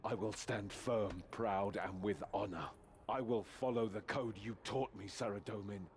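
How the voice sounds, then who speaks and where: Fantasy game knight: lowish voice, honourable, resolute, formal, earnest. Faint background ambience. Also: male voice, steady tone, measured pace, slight background noise. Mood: strained resolve. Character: proud, honourable male knight resisting inner corruption. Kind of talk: scripted fantasy game acting.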